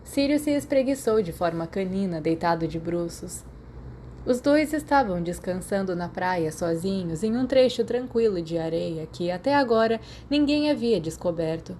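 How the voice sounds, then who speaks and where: A woman's voice: on the low side, animated, normal speed, warm tone. Brazilian woman narrating fiction, faint noise. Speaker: audiobook narrator.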